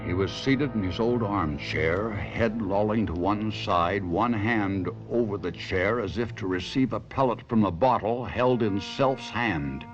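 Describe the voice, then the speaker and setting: An actor playing a troubled, sentimental stage ventriloquist in a theatrical, brooding 1970s radio mystery drama style. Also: male voice, lowish voice, a little slow, few pauses, lo-fi sound, music in the background, lightly acted. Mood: sombre narrating.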